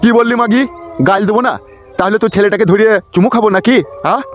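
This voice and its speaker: A man's voice: high-pitched, fairly even intonation, fast, muffled recording, natural voice. A man voicing an irate village father in a comedy cartoon, ranting and scolding with foul-mouthed indignation. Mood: indignant.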